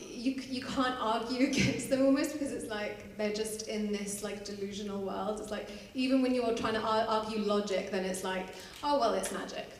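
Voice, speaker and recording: A woman's voice: mid-range voice, far from the mic. A young woman speaks in a loose, chatty way and fills her talk with "like" and "you know." Her voice rings through a large, very echoey hall. She sits well back from the microphone, and a faint hum runs behind her. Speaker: panelist. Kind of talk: casual personal account. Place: large hall at a live event.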